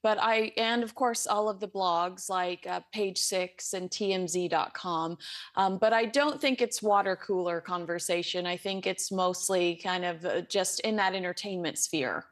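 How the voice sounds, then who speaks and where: A woman's voice: on the low side, unhurried. American woman giving TV commentary. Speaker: royal commentator. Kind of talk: live TV punditry.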